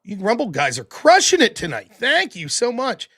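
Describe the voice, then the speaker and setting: Animated high-voiced man reading donations. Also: normal speed. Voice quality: high-pitched voice, heavy breathing. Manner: animated, profane, yells about politics. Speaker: livestream host reading super chats. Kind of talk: casual livestream chat.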